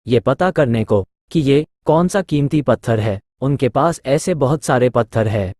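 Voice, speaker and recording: A man's voice: medium pitch, animated, measured pace, darkish tone, no room sound, studio quality. A man voicing a naive, mild-mannered village priest in a cartoon story, simple and trusting in his talk. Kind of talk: Hindi cartoon story dialogue.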